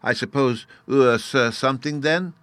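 A man's voice: mid-range voice, normal speed, no echo, voice pushed up, close to an everyday voice. A man voicing Bruno, a lisping fairy boy. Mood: curious. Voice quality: childish lisp, says "oo" for you. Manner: pouting, petulant, lively. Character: small mischievous boy fairy, sulky. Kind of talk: storybook reading.

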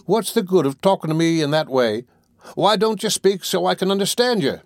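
A man's voice: high voice, expressive, fairly quick, dry recording, studio quality, voice pushed up. A man voicing a blunt, irritable fellow in a storybook reading, demanding and raising his voice. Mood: irritated.

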